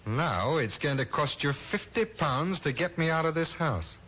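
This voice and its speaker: A man's voice: fairly high pitch, animated, a little slow, fairly bright tone, slight background noise. An actor playing a young handyman who turns into a threatening thief in a radio drama. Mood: menacing. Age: young adult. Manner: smooth, then threatening. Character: young con-man handyman turned menacing thief. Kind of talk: 1970s radio drama acting.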